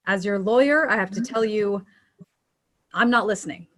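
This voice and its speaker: A woman's voice: mid-range voice, moderate pace, a bit bright, lightly acted. An actress reading an opinionated lawyer in her fifties at a screenplay table read. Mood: supportive, dry.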